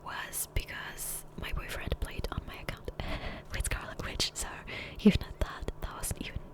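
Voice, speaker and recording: A woman speaking in a soft, breathy whisper close to the microphone, rambling casually and self-deprecatingly as an ASMR gaming creator. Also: normal speed. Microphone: close USB condenser microphone.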